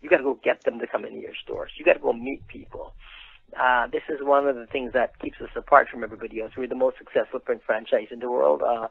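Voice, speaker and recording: Middle-aged Guyanese male interviewee, husky and creaky, conversational storyteller, heard over a narrow phone line. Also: medium pitch, fairly even intonation, quick pace, dry recording. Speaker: interview guest, print business owner. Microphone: phone call.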